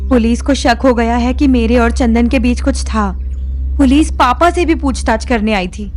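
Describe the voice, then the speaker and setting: A woman playing a wronged, anxious young married woman in an audio drama, earnest, pleading and insistent. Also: high voice. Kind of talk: Hindi audio drama acting. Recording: music bed under the voice.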